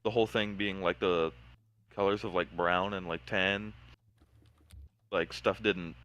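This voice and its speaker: A man's voice: sits low, fairly even intonation, unhurried, a bit bright, muffled recording. A man in a casual voice chat, explaining his building project hesitantly with many filler words.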